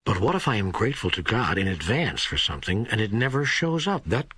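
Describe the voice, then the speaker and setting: A man's voice: lowish voice, big pitch swings, a little fast, pushed and bright, husky, no echo, exaggerated voice. A man reading the part of an earnest, doubting seeker who questions God in a scripted audiobook dialogue. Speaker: audiobook co-narrator.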